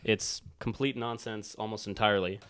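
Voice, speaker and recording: A man's voice: fairly low pitch, steady tone, moderate pace, fairly bright tone, smooth. A man co-hosting a casual, unscripted book chat, rambling as he thinks aloud. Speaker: book talk co-host.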